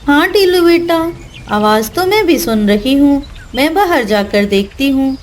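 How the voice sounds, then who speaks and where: A woman's voice: high-pitched, animated, a little slow, a little hiss or hum. A woman voicing a caring, protective mother bird in a children's cartoon, motherly and firm. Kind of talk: Hindi children's cartoon voice acting.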